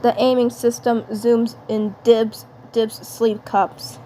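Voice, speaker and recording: Slow, halting woman narrator. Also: on the high side, flat delivery, warm tone. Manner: halting, repeats words and phrases. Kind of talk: fan fiction script narration. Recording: clear background noise.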